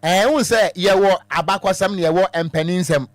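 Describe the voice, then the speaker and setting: A man's voice: sits high, moderate pace, dry recording. A Ghanaian man hosting a phone-in talk show on the radio from a studio. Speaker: radio host.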